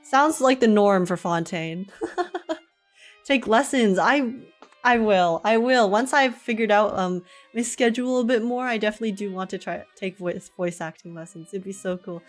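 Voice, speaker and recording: A woman's voice: mid-range voice, normal speed. A woman livestreaming a game in an informal, giggly manner, chatting casually and reading text aloud. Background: game music.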